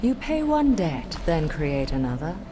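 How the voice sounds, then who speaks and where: Low-voiced, imperious alien warlord. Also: female voice, very animated, noisy background. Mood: stern. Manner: commanding, solemn, deliberate. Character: imperious female alien warlord, stern and proud. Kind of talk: video game cutscene acting.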